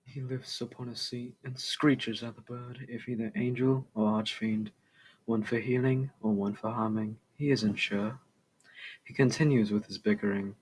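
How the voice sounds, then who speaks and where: A man's voice: medium pitch, normal speed, a little mellow, low-quality recording. A young man, a student, reading a scripted retelling of a poem aloud. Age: teens or young adult. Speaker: student reader. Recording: clear background noise.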